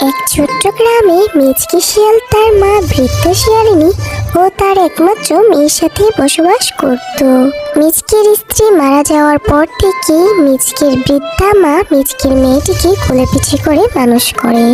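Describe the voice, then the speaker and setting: A woman's voice: high-pitched, moderate pace, music in the background, low-quality recording. A woman narrator reading a scripted children's story.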